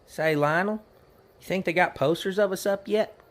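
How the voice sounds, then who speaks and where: A higher-pitched young cowboy sidekick played by a man rambles along in a rural Western drawl. He is cheerful, simple and guileless, the folksy fool of a western comedy radio play. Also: moderate pace, close to an everyday voice. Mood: chirpy, musing. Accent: rural American Western drawl. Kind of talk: western comedy audio drama acting.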